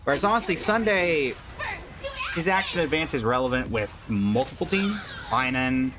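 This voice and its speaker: A man's voice: fairly high pitch, animated, moderate pace. Easygoing man livestreaming over game music. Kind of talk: casual livestream chat and commentary.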